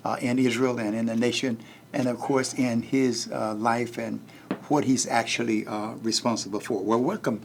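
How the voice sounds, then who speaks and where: Male pastor-host, gravelly, hesitant interviewer, faint hiss behind. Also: mid-range voice, steady tone. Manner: halting, many "uh" fillers. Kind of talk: televised interview.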